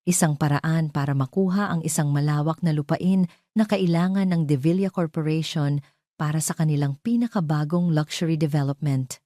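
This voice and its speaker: A woman's voice: low-pitched, normal speed, warm tone, no echo, studio quality. A woman narrating a scripted dramatic story.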